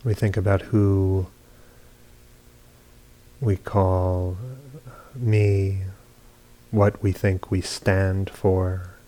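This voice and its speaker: A man with a deep, mellow voice guides a meditation. He speaks in short, measured phrases and leaves long pauses between them. His delivery is very even, with little melodic movement. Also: rough recording. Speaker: meditation teacher. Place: meditation retreat hall.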